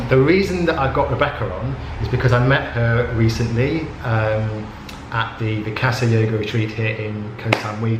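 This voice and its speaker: A man hosting a casual interview, talking in a relaxed and rambling way with many fillers. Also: mid-range voice. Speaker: host. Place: indoors at a yoga retreat. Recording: echoey room with background noise.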